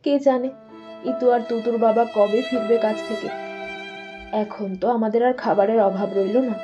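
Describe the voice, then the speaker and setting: A woman's voice: a little high, fairly even intonation, moderate pace, close to an everyday voice. A woman playing a worried, loving village mother of young children in a dramatized doll story. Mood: wistful. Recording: muffled audio with faint music.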